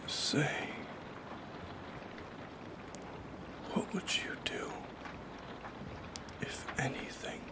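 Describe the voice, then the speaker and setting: A lonely shadow creature of the night, played by an ASMR performer, speaking in a breathy whisper. The words come very slowly and hesitantly, in a wistful, philosophical roleplay monologue. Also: close to an everyday voice. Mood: tender, pensive. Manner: slow, hesitant, philosophical musing. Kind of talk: soft-spoken ASMR monologue.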